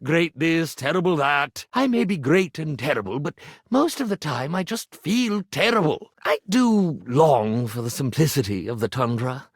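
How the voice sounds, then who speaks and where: A pompous, theatrical stage magician from a video game, swooping dramatically up and down in pitch. The delivery is florid and grandiose, full of weighty pauses, with a melodramatic insecurity underneath. The recording is close and dry. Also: male voice, lively intonation, husky, no room sound. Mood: anguished, confessional. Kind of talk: video game character acting.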